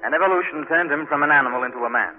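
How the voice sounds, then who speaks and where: Actor as a fast-talking idealistic young man, old radio. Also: a little high, phone-quality sound, natural voice. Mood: earnest, preaching. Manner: impassioned, speechifying about hopes and hardship. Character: earnest, idealistic poor young male architect from the tenements. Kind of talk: 1940s radio drama acting. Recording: thin, noisy 1940s radio recording.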